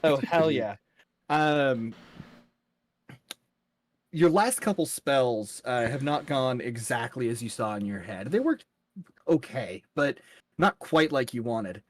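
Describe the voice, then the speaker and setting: A man acts as game master for a tabletop role-playing session, improvising narration in an informal, colorful way. He takes his time with an unhurried pace and a middle-range voice. The sound is dry and close, with no room echo. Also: medium pitch, animated.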